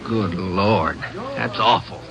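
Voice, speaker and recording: An actor playing a folksy, concerned small-town Texas sheriff in an old radio drama, speaking plainly. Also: male voice, on the low side, takes it slowly, creaky. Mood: subdued. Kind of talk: 1940s-50s radio drama acting. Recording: very noisy vintage radio recording.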